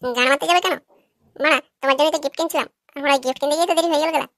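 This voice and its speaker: A woman plays a brash cartoon boyfriend with a high, bright voice. He talks extremely fast in an irritable, argumentative way, recorded very dry. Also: no room sound. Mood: irritated. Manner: crude, argumentative. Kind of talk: cartoon comedy dialogue.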